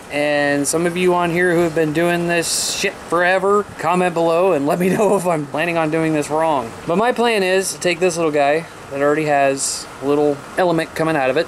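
An American man in his thirties chatting casually to camera as a vlog host, laid-back and informal. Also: a little high. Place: outdoors by a mobile home, later a small room indoors. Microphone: camera at arm's length. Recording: clear outdoor noise, handheld camera.

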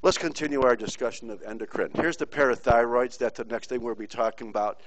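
A man's voice: mid-range voice, quick pace, dry recording, rough recording. A middle-aged man giving an informal online pathology lecture, rambling through stories and speaking bluntly. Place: teaching from Puerto Rico.

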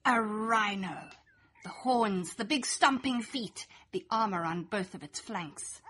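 A woman's voice: mid-range voice, lively intonation, slow pace, fairly bright tone, slight background noise. A young adult woman reading a rhyming children's picture book aloud in a playful, sing-song storytelling manner. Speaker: storybook reader. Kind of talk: expressive read-aloud children's story in rhyme.

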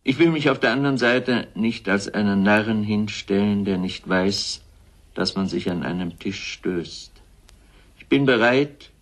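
A man giving a measured literary reading in a sober, deliberate way. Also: fairly low pitch.

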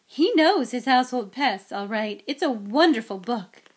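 Woman acting a sharp, bossy mother witch. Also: fairly high pitch, lively intonation, brisk. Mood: admiring. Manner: sharp, loud, exclaiming. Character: bossy, scolding mother witch who fusses fondly. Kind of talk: audiobook character acting.

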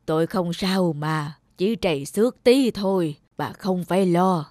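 A woman voicing an elderly grandfather who gently brushes off his wife's worry in a cartoon. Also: on the low side, a little slow, no echo, lightly acted. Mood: reassuring. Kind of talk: cartoon voice acting. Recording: dry close studio sound.

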